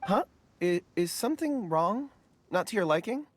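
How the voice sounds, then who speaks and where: Gentle, lively-pitched earnest young man. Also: moderate pace, slight background noise. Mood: concerned. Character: gentle, polite, earnest young man, dreamy mineral seeker. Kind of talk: video game character acting.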